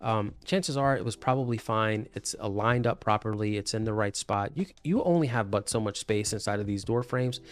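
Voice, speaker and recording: A man's voice: on the low side, moderate pace, few pauses, clear, resonant tone. A man presenting a casual explainer video as an installer, talking in a conversational, explanatory way and repeating points for emphasis. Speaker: presenter, intercom and door hardware installer.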